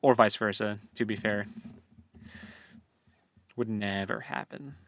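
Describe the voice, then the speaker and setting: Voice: medium pitch, fairly even intonation, slow, dry recording. A playful, teasing co-host of a book podcast, chatting casually. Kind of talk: casual book-club chat.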